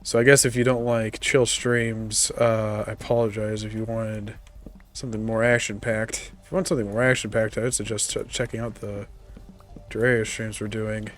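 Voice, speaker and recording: A man streaming a video game, talking casually in a relaxed, rambling way with many fillers, his voice congested from a cold. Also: on the low side, normal speed, soft background music. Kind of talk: casual gaming commentary.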